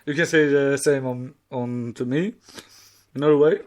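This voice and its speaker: A man, a native Swedish speaker, co-hosting a podcast in casual, halting non-native English. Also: mid-range voice, fairly even intonation, a little mellow. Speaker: podcast co-host. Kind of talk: casual chat.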